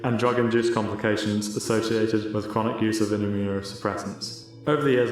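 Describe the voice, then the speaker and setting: A man reading scripted educational narration in a formal presenting style. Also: medium pitch. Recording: very echoey room.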